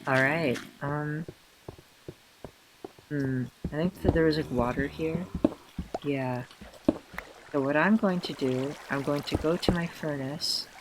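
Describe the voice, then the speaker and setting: A man with a hoarse, tired voice, self-consciously rambling through casual gameplay commentary with many fillers and restarts. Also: on the high side, slow pace, pauses often, noisy background. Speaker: let's player.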